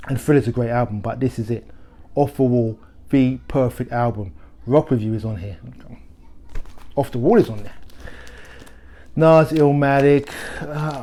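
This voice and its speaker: A man's voice: medium pitch, darkish tone. A middle-aged man hosting a record shop's video series, talking casually about favourite albums with frequent restarts and fillers. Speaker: record shop host. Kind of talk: casual music recommendations to camera.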